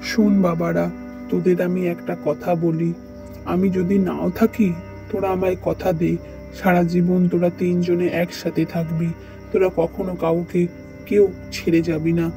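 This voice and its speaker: An actor playing an ageing, ailing farmer father in an animated moral story, speaking earnestly and pleadingly to the children. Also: mid-range voice, moderate pace, gravelly, music in the background, close to an everyday voice. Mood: weak, pleading.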